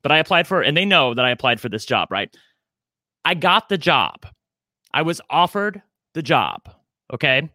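A man's voice: a little high, big pitch swings, moderate pace, pauses often, pushed and bright, no room sound, clean studio sound. A man in his forties co-hosting a podcast, talking in a chatty, campy, self-deprecating way. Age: 40s. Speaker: podcast co-host. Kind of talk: casual chat.

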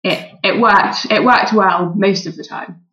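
A woman doctor in a relaxed interview, answering thoughtfully with frequent "um" fillers. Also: medium pitch, measured pace, dull audio. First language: English. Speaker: volunteer doctor, guest.

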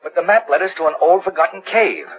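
A man's voice: on the high side, animated, fast talker. An actor playing an earnest, frightened man pleading insistently for help in a 1940s radio drama. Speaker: actor in a detective radio serial. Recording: muffled 1940s radio recording.

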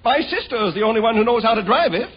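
A man's voice: very high voice, animated, quick pace, muffled recording, heavy background noise. A man playing a flustered, mournful neighbour in a 1950s radio sitcom, fretting and repeating himself melodramatically, on an old broadcast recording. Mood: distraught. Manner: fretful, repetitive, mournful. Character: flustered, woeful male neighbour lamenting melodramatically.

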